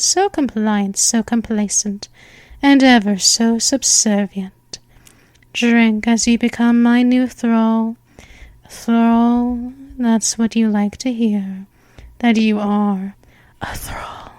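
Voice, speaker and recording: A woman playing a possessive female vampire mistress, speaking softly and hypnotically with drifting whispers, close to the mic. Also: fairly high pitch, no echo. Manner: coaxing, repetitive, counts down. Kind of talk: ASMR hypnosis roleplay.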